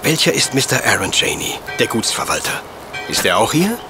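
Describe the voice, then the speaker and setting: An actor playing a courteous, coolly logical professor detective in a radio play, speaking in ornate, measured phrases. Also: male voice, mid-range voice, sing-song, music in the background, lightly acted. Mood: calm, courteous. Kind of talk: German radio play acting.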